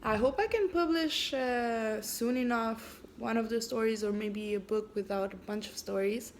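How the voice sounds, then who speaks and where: Woman, from Canada, native French speaker, slightly high voice, hesitant, pausing reading, faint background hiss. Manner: hesitant, with frequent pauses and fillers. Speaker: vlogger reading her own story. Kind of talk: casual video intro, then reading prose aloud.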